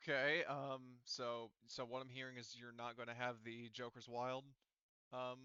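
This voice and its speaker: A man's voice: medium pitch, flat delivery, moderate pace, sharp tone, clean tone, dull audio. A man working as game master in casual tabletop session talk, thinking aloud and correcting himself as he goes.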